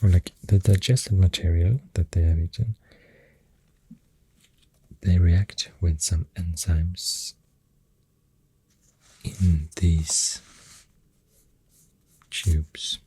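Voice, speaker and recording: A soft-spoken ASMR host with a Swedish accent, rambling gently and hesitantly in a hushed, whispery, breathy voice close to the microphone. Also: low-pitched, fairly even intonation, very slow, pauses often, poor audio. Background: pencil writing and clicking sounds.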